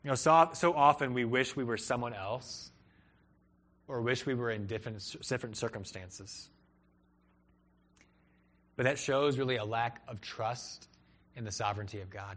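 A man's voice: mid-range voice, fairly bright tone. Earnest young male preacher. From Peru and Cleveland, Ohio. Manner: earnest, rises and falls for emphasis. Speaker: pastor. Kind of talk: sermon.

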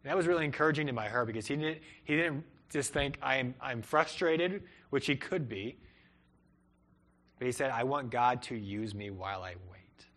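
A young man delivers a sermon with earnest conviction, his voice swelling on key phrases and sinking low at the ends of lines. He speaks as a pastor addressing his congregation, at an ordinary middle pitch. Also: animated, normal speed, a bit bright. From Peru and Cleveland, Ohio. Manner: earnest, rises and falls for emphasis.

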